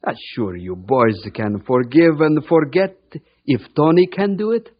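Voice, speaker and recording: Kindly coaxing grown-up, muffled old radio. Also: male voice, fairly high pitch, expressive, unhurried. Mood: gently pleading. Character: kindly adult pleading for boys to forgive. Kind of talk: old-time radio drama acting. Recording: dull vintage radio recording.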